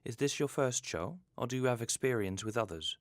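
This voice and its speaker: A man's voice: mid-range voice, lively intonation, fairly quick, no echo, studio quality. A man hosting an interview, putting scripted questions to a guest. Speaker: interviewer and host.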